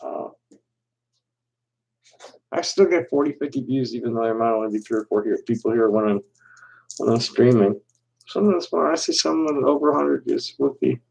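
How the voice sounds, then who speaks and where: A man hosting a solo livestream, reading aloud slowly and deliberately, then chatting in a halting, rambling way with many restarts. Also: medium pitch, vocal fry, a little mellow, husky, poor audio. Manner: reads aloud with deliberate slow pauses. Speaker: livestream host. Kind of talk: casual solo livestream chat.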